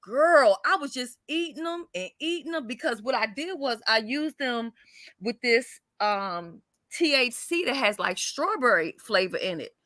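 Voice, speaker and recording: A raspy woman hosts a casual live chat. She tells stories loudly and salty, often breaking into laughter. Her voice is bright and cutting, pitched a little high, and recorded dry and close. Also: expressive, measured pace, no room sound. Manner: loud, salty, laughing storyteller. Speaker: host.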